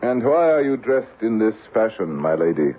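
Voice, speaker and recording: Deluded would-be knight for radio drama: low, animated delivery, courtly and solemn, tinny broadcast sound. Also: male voice, moderate pace. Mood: courtly wonder. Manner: formal archaic speech, gallant, solemn. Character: courtly deluded man believing he is a medieval Scottish knight. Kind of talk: 1970s radio drama acting. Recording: tinny band-limited old radio broadcast.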